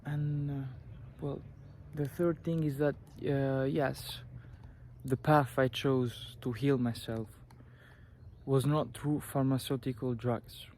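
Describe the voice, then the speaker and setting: A young man with an Italian accent talks slowly to the camera, giving heartfelt advice. His delivery is earnest and halting, full of small restarts and fillers, at a middling pitch. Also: level tone, a little mellow. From Italy. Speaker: vlogger. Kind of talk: personal advice talk to camera.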